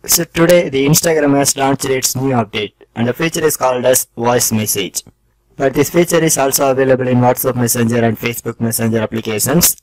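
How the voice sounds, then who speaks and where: Male tech tutorial host, mid-pitched, scripted instructional delivery, dry recording. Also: a little fast, no echo, low-quality recording. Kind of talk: scripted step-by-step tutorial narration.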